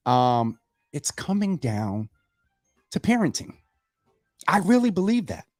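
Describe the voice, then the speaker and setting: A man's voice: on the high side, very animated, slow, no echo, clean studio sound, soft background music. A man hosting a live talk show, speaking in a familiar, casual way with many fillers, reading out viewer comments.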